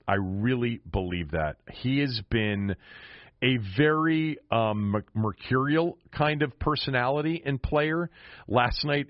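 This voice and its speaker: A man's voice: lowish voice, no echo, lo-fi sound. A middle-aged American man giving casual, opinionated solo sports commentary as a host, speaking emphatically. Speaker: sports podcast and radio host.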